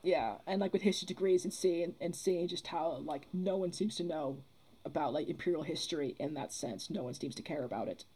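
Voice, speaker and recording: Husky, mellow young woman talking earnestly. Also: deep voice, brisk, poor audio. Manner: earnest, rambling, repeats phrases as she builds an argument. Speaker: podcast guest, theatre producer. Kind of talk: casual discussion.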